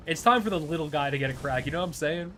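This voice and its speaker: Laid-back young man, fairly high voice. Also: very fast, bright, forceful tone, a little hiss or hum. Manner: laid-back, chuckling, swears casually. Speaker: streamer. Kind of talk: casual live gameplay commentary.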